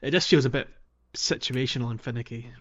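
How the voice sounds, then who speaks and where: A man's voice: medium pitch, brisk. Man podcasting in slightly dull audio. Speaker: podcast host. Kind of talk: casual video game discussion.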